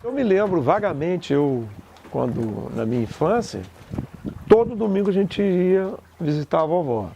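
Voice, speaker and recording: A man's voice: high-pitched, sing-song, a little slow, background noise. A man interviewed about his childhood memories, speaking hesitantly and reflectively. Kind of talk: casual recollection.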